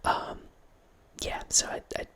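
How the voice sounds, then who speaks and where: A man in his thirties hosting an ASMR channel, whispering a rambling film chat into a close microphone in a small home room. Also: slow pace. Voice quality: soft unvoiced whisper. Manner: rambling, with restarts and quiet laughs. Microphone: close studio mic with headphones.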